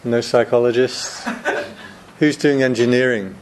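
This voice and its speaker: A man's voice: medium pitch, steady tone, unhurried, background noise. A man, a Buddhist monk, giving a chatty Dharma talk to a room of students, joking and laughing often.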